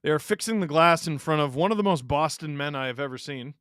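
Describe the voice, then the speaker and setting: A man's voice: on the high side, lively intonation, fast talker, fairly bright tone, no echo, clean studio sound. A man in his thirties from Canada hosting a live hockey watch-along, joking with chat and reacting to plays. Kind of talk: casual live-stream commentary.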